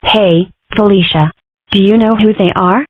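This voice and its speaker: A woman's voice: mid-range voice, steady tone, unhurried, dry recording, natural voice. A woman voicing an inquisitive, dramatic young cartoon girl in a comic strip dub, with wry, theatrical musing. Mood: scheming, musing. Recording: muffled phone-like audio.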